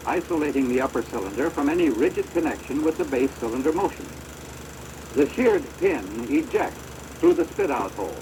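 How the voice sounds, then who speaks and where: An American man giving formal, measured instructional narration for a training film, on a deteriorated old projector soundtrack. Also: mid-range voice, background noise.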